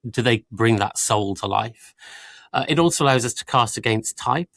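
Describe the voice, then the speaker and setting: A man's voice: mid-range voice, normal speed, creaky, fairly bright tone, no echo. A man answering panel interview questions as a production guest, articulate and explanatory, often dropping in small filler phrases. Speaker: audiobook production team member interviewed as a guest.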